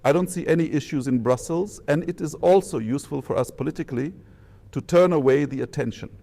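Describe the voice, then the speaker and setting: A man with a Polish accent and a very gravelly, dark-toned voice. He talks like an official in a private diplomatic call, measured and deliberate, setting out one phrase at a time. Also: fairly high pitch. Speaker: government minister. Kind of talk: measured diplomatic phone conversation.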